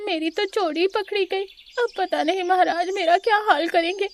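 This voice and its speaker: A woman's voice: very high-pitched, steady tone, normal speed, a little hiss or hum, voice pushed up. A woman voicing a panicky little male animal thief in a children's cartoon, fretful and fearful. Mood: panicked.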